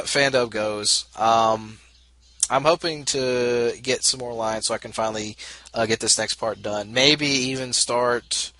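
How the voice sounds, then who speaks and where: A man giving a casual channel update as an online creator, talking in a rambling, tentative, halting way. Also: medium pitch, sharp tone, dry recording, low-quality recording. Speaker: online video creator and amateur fan dubber.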